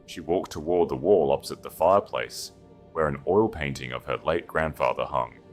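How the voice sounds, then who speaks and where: A man's voice: very deep voice, moderate pace, faint music behind. A man narrating a scripted story as a dramatic audiobook reader.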